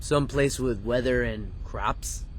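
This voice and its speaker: A man's voice: mid-range voice, measured pace, a little hiss or hum, lightly acted. An actor playing a wisecracking, sarcastic young male sidekick in a video game cutscene. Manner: sarcastic, dry.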